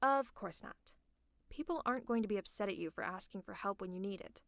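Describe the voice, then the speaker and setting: A woman playing a tough but caring female supervillain in an ASMR roleplay, speaking in a conversational, reassuring and gently teasing way. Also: medium pitch, expressive, brisk, creaky, no echo. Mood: soft, concerned. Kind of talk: ASMR roleplay audio drama.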